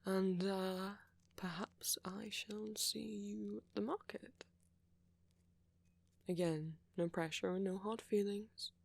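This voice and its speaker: A man playing a friendly, flirty vampire in an ASMR roleplay, speaking softly, politely and coyly. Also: high voice, steady tone, slow, gravelly, no echo, exaggerated voice. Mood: friendly, gently flirty. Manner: soft-spoken, polite, coy, chuckling. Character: friendly flirty gentle male vampire, shyly hopeful.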